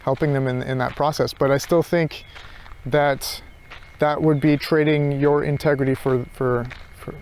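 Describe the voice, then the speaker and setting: A man's voice: on the high side, steady tone, measured pace, a little mellow, slight background noise. A man thinking aloud in an unscripted reflective monologue, hesitant and searching, often circling back over his phrases. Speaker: philosophy vlogger.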